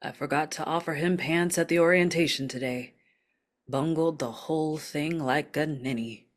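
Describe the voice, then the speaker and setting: A woman's voice: low voice, normal speed, natural voice. A woman in a script table read, playing a prim, self-deprecating, bumbling office supervisor. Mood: glum, self-critical.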